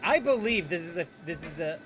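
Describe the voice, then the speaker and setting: Sarcastic man doing game commentary, high voice, animated swings. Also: a little fast, soft background music. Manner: sarcastic, incredulous. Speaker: gaming channel host. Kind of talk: let's-play commentary.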